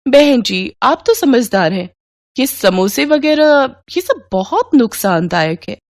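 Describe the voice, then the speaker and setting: A woman's voice: on the high side, very animated, slow pace, no room sound, clean studio sound, exaggerated voice. Woman playing a snobbish, disdainful mother. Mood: disapproving. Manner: disdainful, lecturing. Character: snobbish, critical middle-aged mother of a married daughter. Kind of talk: dramatized moral story.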